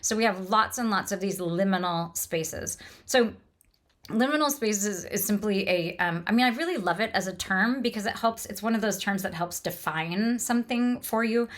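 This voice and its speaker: A woman's voice: medium pitch, normal speed, fairly bright tone. A woman lecturing on literature off the cuff, in an enthusiastic, discursive way that explains as it goes. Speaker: lecturer and host of a literature podcast.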